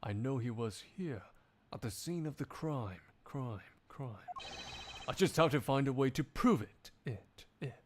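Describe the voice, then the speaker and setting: A man voicing a composed, stern, sardonic gentleman prosecutor in a video game dub, formal, measured and cutting. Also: mid-range voice, animated, noisy background, voice pushed down, close to an everyday voice. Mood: calm, suspicious.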